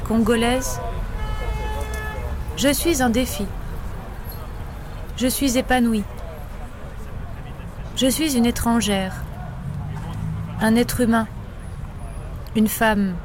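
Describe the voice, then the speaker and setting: A woman giving calm, short phrases about herself, one at a time. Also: on the high side, extremely slow, pauses often. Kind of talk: short spoken self-descriptions. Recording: outdoor field recording with street noise. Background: traffic and city ambience.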